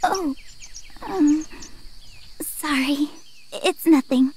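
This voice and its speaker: A timid young woman in a visual novel game, high and hesitant. She speaks slowly, over very noisy audio. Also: darkish tone. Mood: dazed, hesitant.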